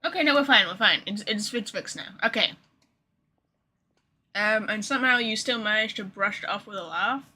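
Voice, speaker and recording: A woman's voice: medium pitch, expressive, moderate pace, pushed and bright. A woman giving casual live game commentary as a streamer, with a blunt, sarcastic manner. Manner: reacts bluntly to dialogue, sarcastic.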